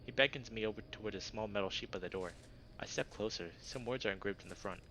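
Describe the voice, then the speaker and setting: A man's voice: on the low side, fast, sharp tone, a little hiss or hum, poor audio. A man reading as a reserved, dryly wry furry protagonist narrating a visual novel in first person. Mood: measured, wry.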